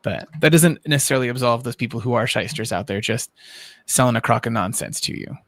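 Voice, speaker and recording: Guy with creaky voice, mid pitch, casual stream host, wordy explainer, dry sound. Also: brisk, fairly bright tone, no room sound. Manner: explanatory, long-winded, qualifying. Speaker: livestream host. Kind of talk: casual livestream discussion.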